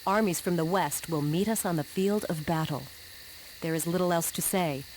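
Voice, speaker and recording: A resolute female war leader in a fantasy game, played by an actress. Her voice is a little low, measured and commanding, with clear noise behind it. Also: darkish tone, close to an everyday voice, poor audio. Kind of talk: scripted video game cutscene narration.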